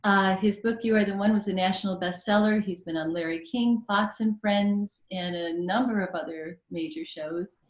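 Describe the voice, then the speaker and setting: A woman's voice: mid-range voice, normal speed, few pauses, muffled recording. A woman hosting a talk show, effusive as she introduces and questions her guest. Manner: warm, effusive. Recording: echoey studio with faint music.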